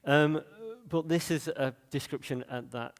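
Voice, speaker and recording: A man's voice: a little high, fairly even intonation, measured pace, long reverb tail. A man with a Manchester accent giving a conference talk in a loose, chatty way, with many fillers and restarts. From Manchester, England. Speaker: conference speaker.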